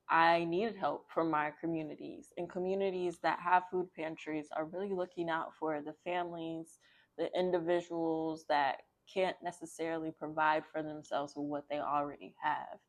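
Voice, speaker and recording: A woman's voice: deep voice, little pitch movement, moderate pace. A woman hosting a children's book read-aloud, chatting conversationally with rambling personal asides.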